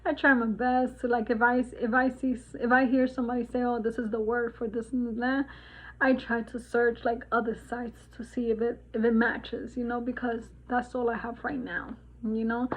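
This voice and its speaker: A woman in her forties from the Dominican Republic, chatting casually in a spiritual vlog, rambling with many fillers and laughs. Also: a little high. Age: 40s. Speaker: vlogger. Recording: tinny phone-like sound.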